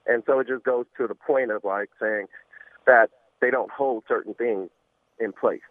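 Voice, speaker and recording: Through a thin phone connection, a man calls a talk show. His voice is medium in pitch. He gives opinions casually, in halting phrases that circle back. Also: fairly even intonation, no echo. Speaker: radio show caller. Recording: muffled narrow phone line.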